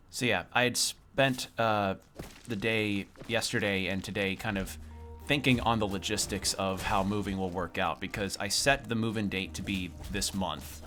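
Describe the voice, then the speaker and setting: A man's voice: on the low side, pushed and bright. A young man chatting casually on a livestream as he plays a game at home, sounding slightly sniffly. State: slightly sniffly from a cold room. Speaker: game streamer. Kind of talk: casual livestream chat over gameplay. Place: own room at home. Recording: music playing behind the voice.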